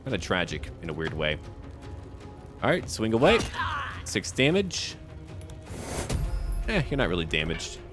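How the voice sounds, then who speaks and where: A man's voice: deep voice, wildly swooping pitch, unhurried, long pauses, over music. A man giving casual let's-play commentary, thinking aloud and chatting to the characters on screen as he plays. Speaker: gaming video host playing and narrating.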